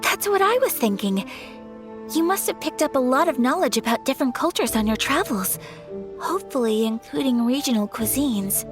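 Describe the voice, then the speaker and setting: High, courteous young noble lady, over game music. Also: animated, moderate pace. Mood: polite. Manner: formal, courteous. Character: young noblewoman, gracious and polite.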